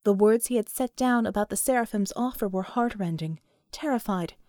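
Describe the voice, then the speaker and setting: A woman narrating an audiobook story in an expressive, scripted storytelling style. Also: medium pitch, normal speed, no room sound, studio quality.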